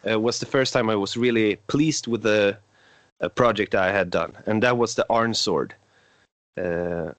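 A man's voice: lowish voice, unhurried, dry recording. Hesitant Swedish-accented young man, dry sound. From Sweden. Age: 20s. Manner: hesitant, frequent filler sounds. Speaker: podcast host. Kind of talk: casual podcast chat.

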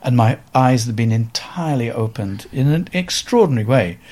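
Welsh guy around 70, halting thoughtful storyteller, lots of ums, average pitch. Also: lively intonation, darkish tone. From Wales. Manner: thoughtful, halting, with many fillers and restarts. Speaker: photographer guest. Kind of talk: reflective interview storytelling.

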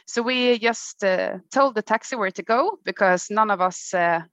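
A woman's voice: mid-range voice, normal speed, fairly bright tone, no echo, lo-fi sound. A woman hosting an interview in a chatty, upbeat way, laughing often. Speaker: podcast host. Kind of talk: casual interview.

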